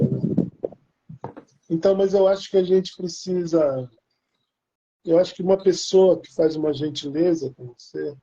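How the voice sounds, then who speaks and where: A man's voice: fairly high pitch, gravelly, dark tone, dull audio. A Brazilian man with a Brazilian Portuguese accent, chatting casually in a rambling way with many restarts. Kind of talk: casual chat.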